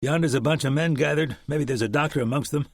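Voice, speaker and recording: A man doing a crisp, tough frontiersman. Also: on the high side, quick pace, no echo, clean studio sound, voice pushed up. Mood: blunt.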